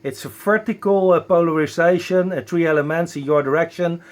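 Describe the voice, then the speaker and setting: A man with a Dutch accent working as an amateur radio operator, enthusiastic but halting, spelling out callsigns at a close microphone. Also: fairly high pitch, steady tone. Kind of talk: ham radio contact, spelling callsigns phonetically. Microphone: close broadcast dynamic microphone.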